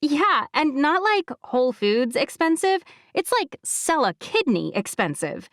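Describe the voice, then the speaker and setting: A woman's voice: high-pitched, sing-song, a little slow, a bit bright, no echo, clean studio sound, voice pushed up, full character voice. A woman voicing a sassy, petite young woman friend who talks bluntly and teasingly. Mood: joking.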